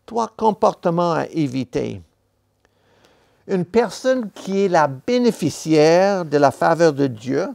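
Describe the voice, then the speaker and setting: A man preaching a sermon in a church, a non-native speaker of the language, talking slowly and deliberately with pauses and self-corrections. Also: sits high, gravelly, darkish tone. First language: not French.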